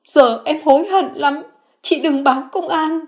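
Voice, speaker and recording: Woman voicing a shrill pleading sister-in-law. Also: unhurried. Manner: begging, shrill and tearful. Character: pleading remorseful middle-aged sister-in-law.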